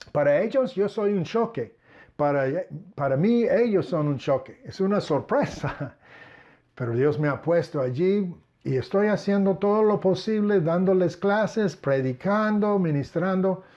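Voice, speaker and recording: Older male pastor in his sixties, earnest and measured, speaking in a somewhat high voice on a muffled recording. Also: darkish tone. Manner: earnest, measured, addressing listeners as brethren. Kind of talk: personal ministry testimony. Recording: dull lo-fi recording.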